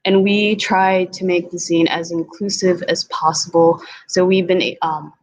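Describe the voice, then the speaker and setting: A woman's voice: lowish voice, fairly even intonation, a little slow, slight background noise, poor audio. A young woman whose first language is Tagalog, presenting at a virtual community event in a hesitant way with many ums. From Philippines. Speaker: community organizer.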